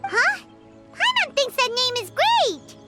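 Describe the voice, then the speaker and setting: Super high-pitched little fairy girl, bouncy, swoops all over, loud game music behind. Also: very animated, takes it slowly, full character voice. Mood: cheerful. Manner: chirpy, talks about herself in third person. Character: tiny floating fairy girl companion, excitable. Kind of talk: video game dialogue.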